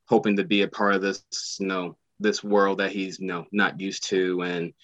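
A man's voice: sits low, level tone, normal speed, fairly bright tone, dry recording. A man, an actor, answering interview questions casually and hesitantly, full of fillers. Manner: hesitant, many fillers like "you know". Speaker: actor guest.

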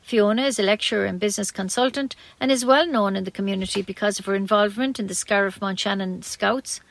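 A woman's voice: medium pitch, steady tone, quick pace, vocal fry, no room sound. Irish woman reading local news, close dry sound. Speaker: community radio newsreader.

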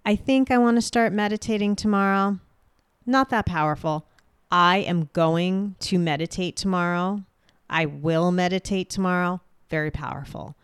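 A woman hosting a solo podcast, speaking directly and clearly with a booming voice. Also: fairly even intonation, moderate pace, dry recording, clean studio sound. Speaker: podcast host.

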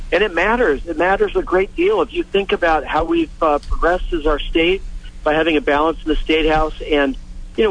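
A man, calling into a radio show as a candidate, making an earnest campaign pitch with halting restarts. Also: a bit bright, low-quality recording.

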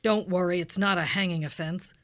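A woman acts out a hard-boiled police detective in a cop-show parody radio play. The voice is fairly low, clipped and deadpan, dogged and official. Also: fairly quick, natural voice. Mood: dry, official. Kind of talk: Dragnet-style comic radio play acting.

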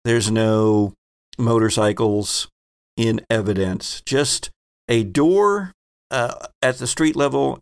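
Gravelly man running a game. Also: mid-range voice. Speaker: game master. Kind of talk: narrating a tabletop role-playing game.

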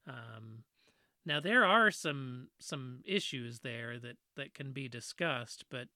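A woman with a fairly deep voice, earnestly explaining a topic in a casual discussion. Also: lively intonation, takes it slowly, pushed and bright, no echo, clean studio sound. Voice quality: fairly deep voice she trained herself to talk around. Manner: earnest, explanatory, with frequent "you know" fillers.

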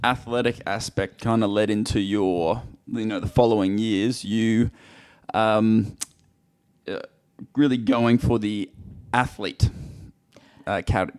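A man's voice: on the low side, steady tone, slow, darkish tone, smooth, no room sound. A man hosting a podcast interview, encouraging his guest and laughing often. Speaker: podcast host. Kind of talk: casual interview chat.

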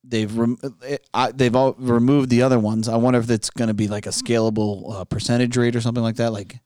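A man co-hosting a casual talk show, offering commentary and thinking out loud in a conversational way. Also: mid-range voice, little pitch movement, quick pace, dry recording, clean studio sound. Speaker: podcast co-host.

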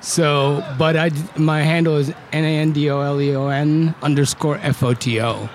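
A man from Chile, a photographer, chatting as a podcast guest, relaxed and a bit hesitant. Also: fairly high pitch, normal speed, slight background noise. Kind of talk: casual chat.

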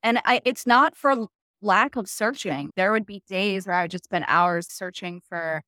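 A woman's voice: medium pitch, normal speed, pushed and bright, dry recording, studio quality. A woman from the continental United States giving her views casually and emphatically as a panel guest.

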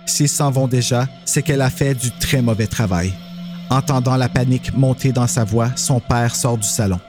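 A man's voice: mid-range voice, normal speed, darkish tone. A man reading scripted story narration. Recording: music clearly under the narration.

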